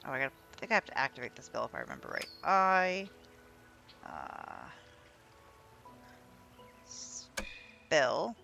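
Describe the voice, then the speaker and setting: A woman's voice: rumbling low, wildly swooping pitch, slow, gravelly, long pauses, sharp tone, faint music behind. A woman giving casual commentary as she plays a video game, thinking aloud and reading out menu items. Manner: thinks aloud while playing, reads menus. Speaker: gameplay streamer.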